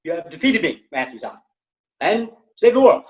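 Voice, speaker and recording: A man's voice: sits high, very animated, normal speed. A man playing a bossy, earnest teenage boy film director in a sitcom table read, with a theatrical, instructing manner. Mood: melodramatic. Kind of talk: casual video-call table read of a TV sitcom.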